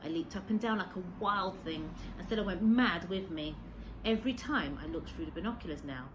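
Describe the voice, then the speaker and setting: A woman reading a story aloud as a teacher, in expressive storybook narration. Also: on the low side, fairly quick, noisy background.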